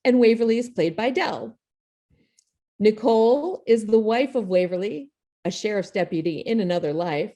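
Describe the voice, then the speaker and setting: A woman's voice: mid-range voice, dark tone. Jokey, self-deprecating woman hosting online. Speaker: playwright and host of an online table read. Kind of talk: informal spoken introduction to a show. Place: video call.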